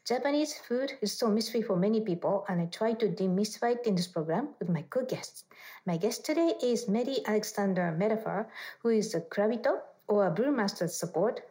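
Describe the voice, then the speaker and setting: A woman's voice: mid-range voice, darkish tone. A woman hosting a podcast interview, polite and friendly, with slightly non-native phrasing. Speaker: host.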